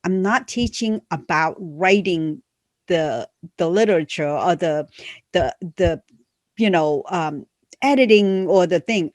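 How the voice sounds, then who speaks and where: A woman's voice: on the low side, no room sound. A woman coach and memoir author talking casually and earnestly, with non-native English phrasing, many fillers, and a first language that is a Chinese dialect. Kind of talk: casual conversational promotion.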